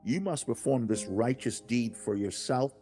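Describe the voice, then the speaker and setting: A man playing God as a stern, loving, earnest father in a dramatized religious audiobook, speaking emphatically and deliberately with dramatic pauses. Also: medium pitch, dark tone, soft background music, natural voice. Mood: solemn, instructing. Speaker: audiobook narrator.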